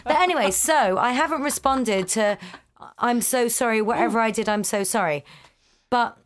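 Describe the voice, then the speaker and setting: A woman's voice: on the high side, normal speed. A woman with a slight lisp, telling a story in casual chat as podcast co-host into a studio mic with a pop shield. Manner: animated storytelling, quoting texts.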